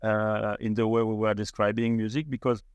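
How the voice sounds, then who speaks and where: A man's voice: fairly low pitch, flat delivery, moderate pace, clean tone, dry recording. A middle-aged man from France with a French accent, a founder being interviewed, speaking hesitantly with many fillers and restarts. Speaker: startup founder, guest. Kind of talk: podcast interview conversation.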